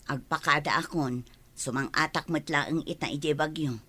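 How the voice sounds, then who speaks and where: Stern low-voiced radio drama mother. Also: normal speed, pushed and bright, husky, full character voice. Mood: firm, coaxing. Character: stern mother leaving her child with a relative. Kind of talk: Filipino radio drama acting.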